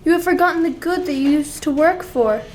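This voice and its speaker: A woman playing a sorrowful, earnest young fiancée in a radio play, with formal, deliberate delivery. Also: sits high, a little mellow, faint music behind, close to an everyday voice. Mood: resigned reproach. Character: sorrowful, principled young fiancée releasing her betrothed.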